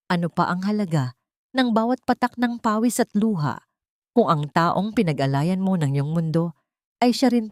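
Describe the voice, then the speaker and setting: Woman, lowish pitch, scripted dramatic storytelling, love story narrator, close dry recording. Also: darkish tone, dry recording.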